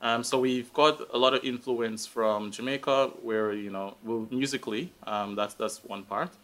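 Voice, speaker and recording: A man's voice: mid-range voice, steady tone, normal speed, a bit bright. A man with a Guyanese accent talking casually as an interview guest, thinking aloud in a reflective, hesitant way. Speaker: interview guest, tech professional. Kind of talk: casual live conversation.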